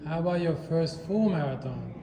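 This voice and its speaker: A man's voice: on the high side, expressive, moderate pace, a crowd in the background. A man interviewing a runner, asking brief, upbeat questions. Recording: echoey, reverberant with music behind.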